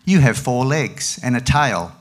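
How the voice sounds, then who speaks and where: A man's voice: mid-range voice, expressive, a little slow. An older man voicing a stubborn, cocksure moose from a children's storybook in an emphatic, insistent way.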